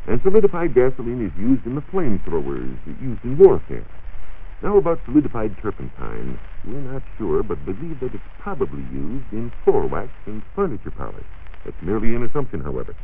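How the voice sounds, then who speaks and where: An American man giving precise, measured explanations as a science reporter on a 1940s radio show. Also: lowish voice, huge pitch swings, husky. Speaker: science reporter answering listener questions. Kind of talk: 1940s radio science talk, partly scripted. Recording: muffled, narrow-band 1940s radio recording.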